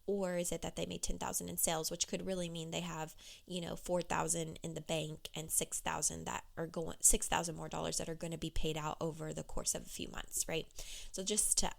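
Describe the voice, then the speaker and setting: A woman business coach talking candidly on her solo podcast, with a hoarse, froggy voice. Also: sits low, little pitch movement, fairly quick. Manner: candid, conversational, with fillers.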